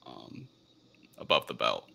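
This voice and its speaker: A man co-hosting a casual film review podcast, speaking in a hesitant, halting way as he searches for words. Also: bright, forceful tone. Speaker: podcast co-host.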